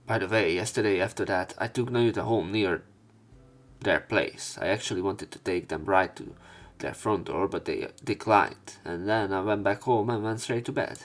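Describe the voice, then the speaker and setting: A man reading visual novel text aloud as a let's play narrator, in a somewhat halting manner. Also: on the low side. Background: game music.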